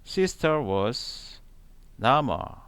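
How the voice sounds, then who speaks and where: A man reading scripture aloud in a halting way, phrase by phrase, with long pauses. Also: mid-range voice, sing-song, a bit bright, smooth. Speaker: Bible reader, channel host. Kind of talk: slow read-aloud of scripture.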